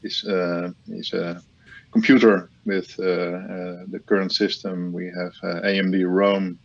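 A man heading a supercomputing department, giving measured, halting technical explanations in an interview. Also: low-pitched, little pitch movement, creaky, highs cut off.

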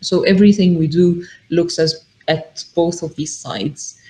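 A middle-aged woman from Egypt, a podcast guest, explaining her work in a measured way with frequent ums. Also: lowish voice, fairly even intonation, warm tone. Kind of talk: casual interview talk.

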